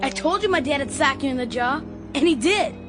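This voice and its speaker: A woman playing a cheeky, taunting young boy in a radio drama, jeering and excitable. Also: high-pitched, a little fast, over music.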